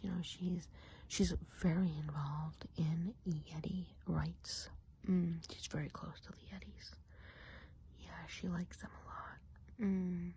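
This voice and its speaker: A woman playing a friendly, chatty witch of the woods in an ASMR roleplay, whispering softly and breathily in an unhurried, musing way. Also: fairly low pitch, slight background noise, natural voice, low-quality recording. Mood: gossipy, fond. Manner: unhurried, gossipy, hesitant musing.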